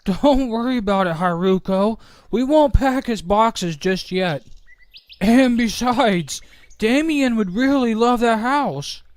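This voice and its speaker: A man playing a kindly, reassuring inventor with a gentle, folksy manner in a scripted game roleplay. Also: high voice, lively intonation, slow, clean tone. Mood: gently reassuring. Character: kindly, reassuring male inventor and household head. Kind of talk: scripted Minecraft roleplay acting.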